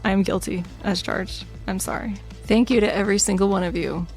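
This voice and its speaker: A woman's voice: mid-range voice, steady tone, a little mellow, husky, soft background music. Soothing-voiced woman hosting a podcast. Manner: soothing, measured delivery. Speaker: podcast host. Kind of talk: scripted true crime narration and chat.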